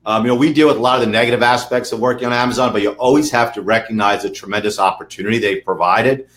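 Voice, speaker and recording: Male webinar host, New York accent, emphatic and chatty, average pitch. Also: fairly even intonation, fast, fairly bright tone. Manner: emphatic, repeats words, frequent "okay" and "um". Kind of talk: casual webinar talk.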